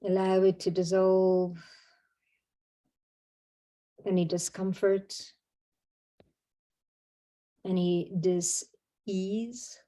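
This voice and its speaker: Female meditation guide: warm dark tone, very level intonation, soothing, unhurried phrasing with long pauses. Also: medium pitch, level tone.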